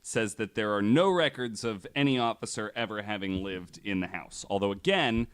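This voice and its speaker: Relaxed low-voiced young man. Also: normal speed, clear, resonant tone. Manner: relaxed, many fillers, voices others' claims with mock quotes. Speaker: podcast host. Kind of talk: casual podcast chat, retelling a story.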